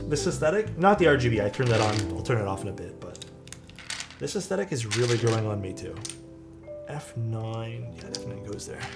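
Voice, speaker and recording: Casual live-streamer, male, soothing tone, expressive pitch, over steady background music. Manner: relaxed, chatty, reads viewer chat aloud and answers. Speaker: streamer building a keyboard. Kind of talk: casual live-stream chat while working. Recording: clear background music under voice. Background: music playing and keyboard clacking.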